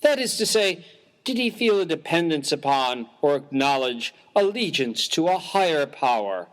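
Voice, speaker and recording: A man's voice: on the high side, sing-song, moderate pace. A man playing a prim, earnest, mild-mannered clergyman in a dramatised story reading, speaking in a formal, precise, wordy way.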